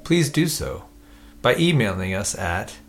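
A man co-hosting a podcast, chatting casually in a relaxed, self-deprecating way. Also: fairly low pitch, measured pace. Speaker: podcast co-host. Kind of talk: casual chat.

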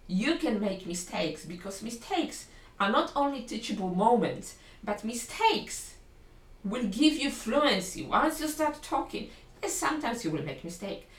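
Polish-accented woman teaching in a roomy space. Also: fairly high pitch. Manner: lively and encouraging, corrects herself mid-sentence. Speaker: language teacher. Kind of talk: video lesson talking to learners. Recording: roomy sound from a distant mic.

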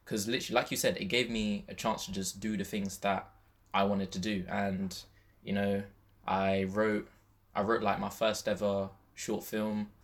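A man's voice: fairly low pitch, little pitch movement, normal speed, gravelly. A man. His delivery is easygoing and slangy, like informal conversation between co-hosts. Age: 20s. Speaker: podcast co-host. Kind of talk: casual chat.

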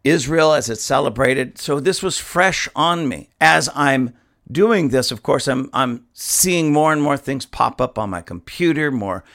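A hoarse male pastor rants on his podcast, indignant and emphatic, repeating himself for force. His voice is gravelly, with fry at the ends of phrases, and sits at an average pitch for a man. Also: expressive, unhurried, a bit bright. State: dusty, hoarse throat. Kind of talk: impassioned podcast commentary.

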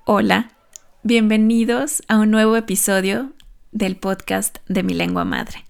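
A woman's voice: medium pitch, lively intonation, unhurried, vocal fry. Woman hosting a podcast interview. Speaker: podcast host.

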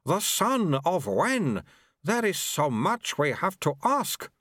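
A man's voice: sits high, sing-song, takes it slowly, a bit bright, breathy edge, no echo, clean studio sound, voice pushed up, exaggerated voice. A man voicing a flustered senior male monk who stammers and dithers. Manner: stammering, dithering, full of uhs.

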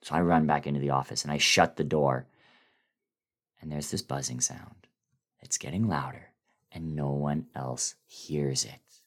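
A man giving a dramatic, suspenseful first-person reading of a horror story, with theatrical pauses. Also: very deep voice, steady tone, a bit bright. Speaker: guest reader of a horror story.

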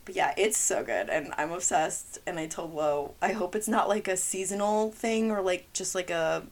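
A woman's voice: lowish voice, normal speed. A woman, co-hosting a podcast in casual chat, her voice scratchy and partly gone, talking in a bubbly, giggly way with lots of "like". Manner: bubbly, giggly, says "like" often. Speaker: podcast co-host.

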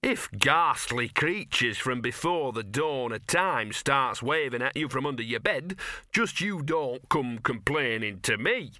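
A man's voice: mid-range voice, lively intonation, measured pace, bright, forceful tone, dry recording, studio quality. A man voicing a sullen little dog in an audiobook, muttering and whining self-pityingly in slangy, colloquial speech. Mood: sullen, aggrieved. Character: grumbling, sullen small bow-legged talking dog.

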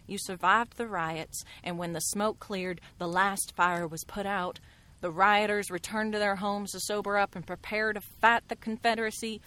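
An actress playing an enigmatic, worldly woman mystic in a radio drama, skeptical and knowing, with deliberate, eloquent, theatrical phrasing. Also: mid-range voice, a bit bright, lightly acted.

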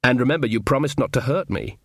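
A man's voice: mid-range voice, expressive, quick pace, a bit bright, husky, no echo, studio quality. A man reading an audiobook, voicing a meek, polite young woman with a steely core. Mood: sweetly polite. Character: gentle young woman, meek but secretly fierce.

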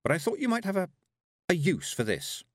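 A man's voice: high voice, wildly swooping pitch, a little fast, no echo, clean studio sound, voice pushed up. A man voicing a plucky, clever young apprentice witch, an earnest and direct girl in a storybook reading. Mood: polite.

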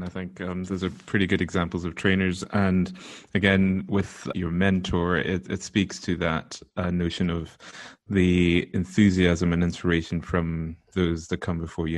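A young man with a low voice hosts a podcast interview. He speaks in an upbeat, polite tone, introducing his guest and asking questions, and he breaks into laughter easily. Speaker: podcast host.

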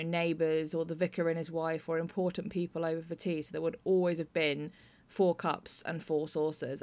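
A British woman hosting a podcast, talking conversationally about theatre writing as a playwright. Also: low-pitched. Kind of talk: conversational interview and discussion.

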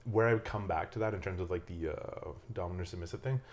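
A man's voice: deep voice, fast talker. A man who hosts a podcast, talking in a laid-back, reflective way with casual swearing. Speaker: podcast host. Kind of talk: casual conversational chat.

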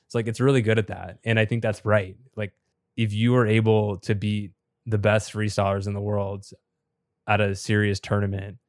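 A slightly raspy, low-voiced man who is a bit sick and losing his voice. He explains at length in a chatty, filler-heavy podcast style. His delivery stays flat, with very little rise and fall. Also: normal speed, no room sound, clean studio sound. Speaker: podcast co-host and top competitive player.

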